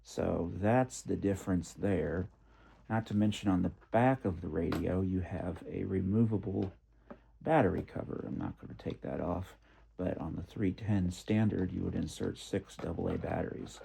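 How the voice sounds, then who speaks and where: A man giving a casual spoken product comparison, thinking aloud in a halting, methodical way. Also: low voice, gravelly, warm tone, a little hiss or hum. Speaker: product reviewer.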